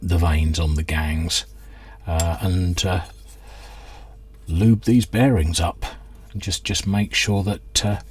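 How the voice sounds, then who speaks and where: A man's voice: low-pitched, fairly even intonation, slow, breathy edge. A man giving casual hands-on workbench commentary, hesitantly thinking aloud as he works. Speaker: host of a radio restoration channel.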